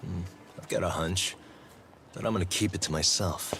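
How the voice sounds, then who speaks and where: A man's voice: deep voice, normal speed, a little hiss or hum. A man playing a guarded, dry-witted private detective in a dubbed video game cutscene, speaking in a curt, wry, unimpressed way. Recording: loud music over the voice.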